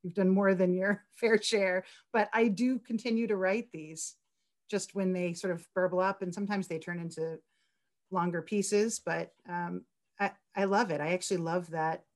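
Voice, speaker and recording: A woman's voice: fairly low pitch, steady tone, normal speed. A woman speaking casually and effusively as a writer answering questions on a panel. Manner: enthusiastic, effusive. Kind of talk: casual Q&A talk.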